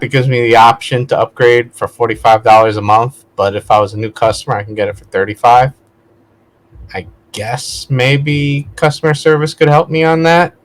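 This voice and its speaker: A man's voice: medium pitch, low-quality recording. A man talking casually as a vlog host, digressing and correcting himself as he goes in a conversational way. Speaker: video host, vlogger. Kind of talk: casual rambling spoken vlog.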